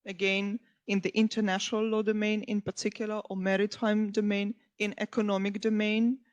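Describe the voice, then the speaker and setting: A woman's voice: mid-range voice, little pitch movement, fairly quick. A woman historian speaking on a conference panel, earnest and often correcting herself mid-phrase. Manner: earnest, restarts and self-corrections.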